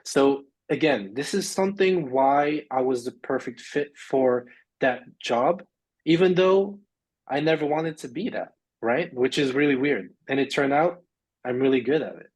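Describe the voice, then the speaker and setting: A man's voice: medium pitch, measured pace, a little mellow, breathy edge. A man raised in Luxembourg, a sports agent, chatting casually as a podcast guest, rambling with many fillers and restarts. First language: English. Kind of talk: casual interview chat.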